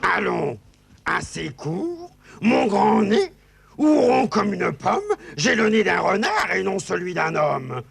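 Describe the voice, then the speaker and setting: Very creaky, grandiloquent fox. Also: male voice, high voice, huge pitch swings, takes it slowly, crowd noise, exaggerated voice. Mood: proud, declamatory. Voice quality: creaky, gravelly. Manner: declamatory, speaking in verse. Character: proud long-nosed talking fox, touchy but grandiloquent.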